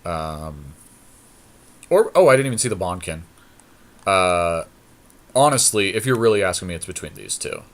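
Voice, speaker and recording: A man with a fairly deep voice gives casual running commentary on a card game. He thinks aloud as he goes, speaking decisively and informally, like a co-host chatting with a partner. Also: big pitch swings, normal speed, pauses often. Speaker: podcast co-host playing a card game draft. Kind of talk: casual commentary while drafting cards.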